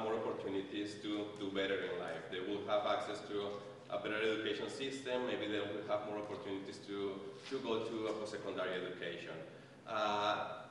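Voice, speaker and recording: A man's voice: on the low side, a bit bright, a little hiss or hum. Hesitant man speaking in an echoey hall. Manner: halting, many fillers and restarts. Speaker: settlement worker. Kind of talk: presentation to an audience. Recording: echoey hall, far from mic.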